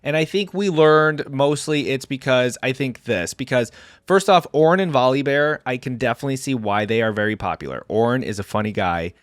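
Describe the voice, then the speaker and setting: A man's voice: mid-range voice, normal speed, dry recording. Dry-sounding man, casual reaction host.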